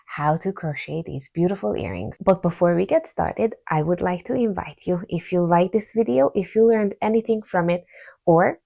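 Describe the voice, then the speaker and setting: A woman's voice: lowish voice, animated, moderate pace, gravelly, warm tone, no room sound. A woman with a Hungarian accent hosting a crochet tutorial, explaining each step patiently and counting stitches aloud.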